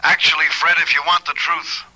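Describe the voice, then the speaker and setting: Casting: wry police lieutenant on a phone line, radio mystery. Rough, piercing, highish voice. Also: male voice, level tone, brisk, sharp tone. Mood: wry, businesslike. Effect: telephone filter. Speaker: actor. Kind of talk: old-time radio drama acting.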